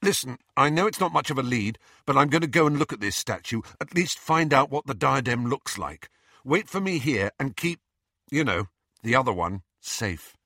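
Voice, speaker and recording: A man acts out a teenage boy hero in an audiobook. He is earnest and determined, often dropping into urgent, secretive speech. The tone is bright and crisp-edged, recorded very dry and close. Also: medium pitch, expressive, normal speed, no echo, voice pushed up, heavily acted. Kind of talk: audiobook character voice.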